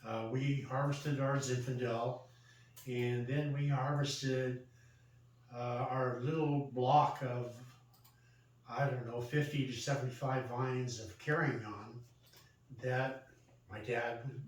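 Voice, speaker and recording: An older American man telling a personal story casually, in a halting, reminiscing way. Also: mid-range voice, steady tone. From Central Valley, California, United States. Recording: roomy echo, distant mic, faint noise.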